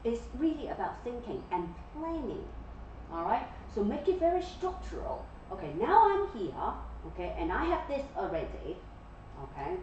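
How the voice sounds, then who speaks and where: Animated woman lecturing in an echoey room. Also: medium pitch, slight background noise. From Malaysia. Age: 40s. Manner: animated, keeps checking in with "okay?" and "yeah?". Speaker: guest lecturer. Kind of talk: interactive classroom lecture. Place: classroom. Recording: echoey classroom from far off, dull.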